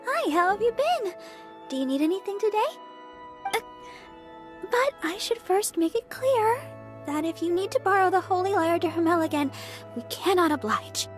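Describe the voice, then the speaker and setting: A woman's voice: very high voice, animated, normal speed, faint music behind. A woman playing a sweet, earnest young church member in a video game, polite, bubbly and helpful. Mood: bright greeting. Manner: polite, bubbly, thinks aloud. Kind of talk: video game character acting.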